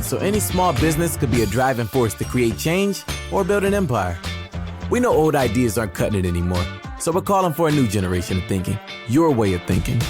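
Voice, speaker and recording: Male commercial voice-over, medium pitch, scripted uplifting read, music clearly underneath. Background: music bed.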